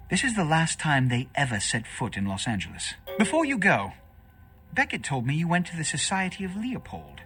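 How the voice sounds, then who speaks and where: Video game vampire prince: pompous, scheming, bright forceful voice, highly animated pitch. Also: male voice. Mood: stern, then eager, probing. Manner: pompous, formal, grandiloquent. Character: imperious, theatrical vampire prince, scheming and haughty. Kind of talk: dramatic video game voice acting.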